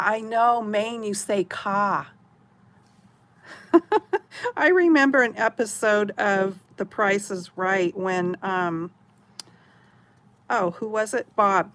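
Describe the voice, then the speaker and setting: Slow-talking chatty woman from Oregon. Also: medium pitch, a bit bright. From Oregon, USA. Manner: chatty, giggly, rambling. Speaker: craft channel host. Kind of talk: casual chat with live viewers.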